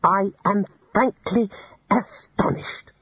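A man's voice: high voice, sing-song, measured pace, slightly rough, no echo, pitched above the performer's own voice, exaggerated voice. A man doing a flustered young wizard. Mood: exasperated. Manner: flustered, pleading, stammering. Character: exasperated earnest young male wizard. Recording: dull lo-fi audiobook recording.

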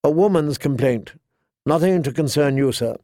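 A man voicing a composed, sober noblewoman who speaks in a measured, formal, cautioning way in an audiobook. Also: on the high side, warm tone, no room sound, studio quality, natural voice. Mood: courteous, guarded. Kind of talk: audiobook character dialogue.

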